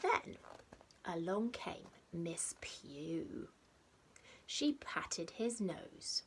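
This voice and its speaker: A woman reading a picture book aloud to young children like a teacher, expressively, with dramatic pauses and stressed rhymes and sound words. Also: lowish voice, lively intonation, in a whisper. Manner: simple explanatory asides addressed to young children. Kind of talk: animated storybook read-aloud.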